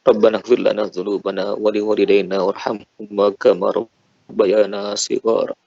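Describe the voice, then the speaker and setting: Low gravelly guy leading a heartfelt prayer, pleading over and over, kinda dull muffled sound. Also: level tone, normal speed, dark tone, dry recording. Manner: fervent, pleading, repeated invocations. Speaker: prayer leader. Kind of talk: emotional congregational prayer.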